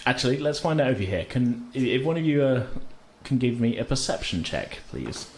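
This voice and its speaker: A man's voice: medium pitch, very animated, a little fast, slight background noise. Man narrating a tabletop game. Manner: informal, hesitant, chuckling asides. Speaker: game master.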